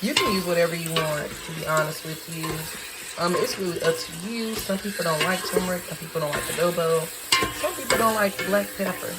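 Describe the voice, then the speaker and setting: A hoarse woman who has lost her voice, casually and tiredly talking through a recipe in her home kitchen. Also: fairly quick, very noisy. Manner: tired, low-key, chatty with fillers. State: hoarse, lost voice from sinus infection. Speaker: home cook vlogger. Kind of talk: casual cooking demonstration. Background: sizzling and frying in a pan.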